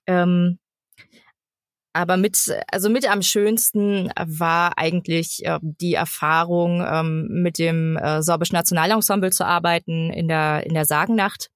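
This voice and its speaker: Woman, 30, podcast guest. Slightly low voice, very dry recording, giggly and halting, conversational. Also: steady tone, dry recording. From eastern Germany (Lower Lusatia). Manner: giggly, halting as she searches for words. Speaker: guest, actress and voice actor. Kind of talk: casual interview chat.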